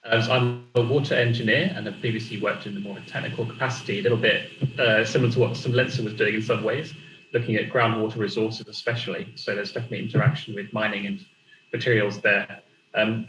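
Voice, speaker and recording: A young man speaking as a panelist in an online discussion, relaxed and a little hesitant. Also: lowish voice. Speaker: panelist, water engineer and student. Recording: roomy echo with faint noise.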